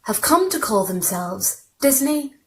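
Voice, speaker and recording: A woman's voice: high-pitched, very animated, a little slow, a bit bright, slight background noise. A woman reads literary short fiction aloud as a storyteller, in scripted narration. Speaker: story reader.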